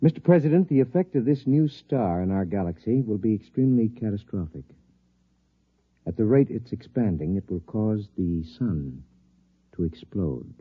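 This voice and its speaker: Grave, low-voiced scientist, tinny old radio. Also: male voice, expressive, husky. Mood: grim, certain. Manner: measured, formal, deferential. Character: grave, weary male nuclear scientist, formal and resigned. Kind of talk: 1950s radio drama acting.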